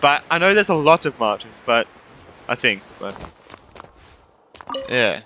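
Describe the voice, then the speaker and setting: A man's voice: mid-range voice, sing-song, measured pace, pushed and bright, a little hiss or hum. A man giving casual commentary on a game playthrough, rambling in muttered asides. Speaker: gaming video creator.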